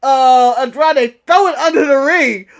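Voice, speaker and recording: High-pitched American man bantering. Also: measured pace. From United States. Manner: animated, repeats phrases for emphasis. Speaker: wrestling podcast co-host. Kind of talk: casual banter and wrestling commentary.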